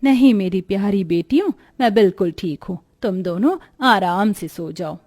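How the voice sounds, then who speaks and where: A woman's voice: medium pitch, expressive, moderate pace, warm tone. A woman as a tender, weary village mother. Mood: tender, resigned. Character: poor, weary, devoted village mother selling vegetables. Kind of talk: cartoon story voice acting.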